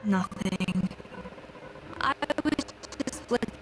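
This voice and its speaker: A woman's voice: medium pitch, slow pace, background noise, natural voice. A woman playing a confident, proud young master chef in an anime game, with an assured, polished delivery. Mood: troubled, pensive.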